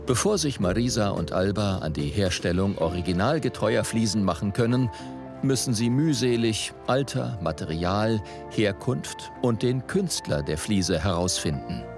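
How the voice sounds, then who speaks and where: A man's voice: lowish voice, animated, moderate pace. A man reading scripted narration for a documentary report. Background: faint background music.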